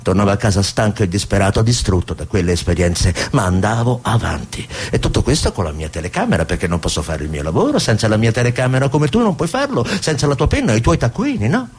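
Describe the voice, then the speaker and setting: An actor playing a weary, anguished cameraman who has died, in an emotional, insistent stage style with a husky voice. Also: lowish voice, very animated, fast talker, hardly any pauses. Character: anguished, weary male war cameraman, speaking after death. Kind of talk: dramatic stage acting.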